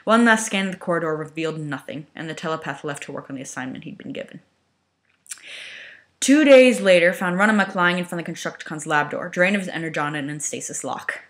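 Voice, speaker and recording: A woman with a lowish voice reads fan fiction aloud. She narrates in a dramatic storytelling style, as an amateur reader of a serialized story. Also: quick pace. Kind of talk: dramatic reading of fan fiction with wry asides.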